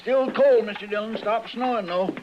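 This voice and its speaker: An American actor playing a plain, deferential frontier deputy in a 1950s radio western. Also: male voice, sits high, normal speed, lightly acted. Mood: mild, chatty. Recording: dull vintage radio recording.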